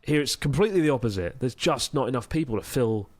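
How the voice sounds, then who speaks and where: A man's voice: mid-range voice, lively intonation, quick pace, dry recording. A man, co-hosting a casual podcast in a rambling, sardonic way. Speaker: podcast co-host.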